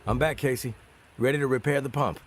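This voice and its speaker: An actor playing a hardened, confident survivor hero in a video game, with a clipped, matter-of-fact delivery. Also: male voice, mid-range voice, steady tone, brisk, natural voice. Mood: businesslike.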